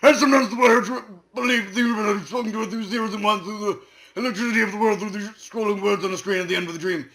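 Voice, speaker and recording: A man with a high, bright voice and a heavy gravelly fry reads aloud for comedy. His delivery is earnest and solemn. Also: fairly even intonation, racing. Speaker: reader. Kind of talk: comedic dramatic reading.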